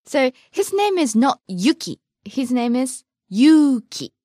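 A woman from Japan, speaking, leads a podcast language lesson. Her somewhat high voice moves in lively leaps of pitch as she gives example phrases. The sound is close and dry, with no room around it. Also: takes it slowly, dry recording. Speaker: Japanese teacher.

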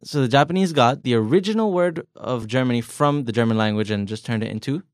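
A man's voice: medium pitch, expressive, quick pace, dry recording. Man explaining, dry close sound. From United States. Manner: explanatory, asks leading questions, light jokes. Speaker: podcast co-host. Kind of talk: bilingual podcast language lesson.

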